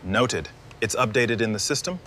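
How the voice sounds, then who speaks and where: A man's voice: fairly low pitch, moderate pace, a bit bright, slight background noise, natural voice. A man playing a businesslike factory supervisor in scripted workplace dialogue.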